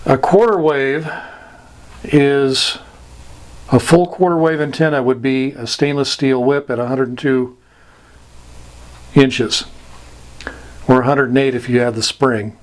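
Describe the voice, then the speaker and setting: Slow-talking man giving a lesson. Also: medium pitch, fairly even intonation. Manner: deliberate, halting, with long pauses. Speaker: instructor. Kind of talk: informal explanatory lesson.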